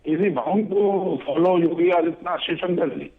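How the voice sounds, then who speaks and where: A man's voice: a little high, fairly quick. Indian man on a dull, noisy feed. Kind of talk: heated TV debate argument. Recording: dull lo-fi feed, crowd noise.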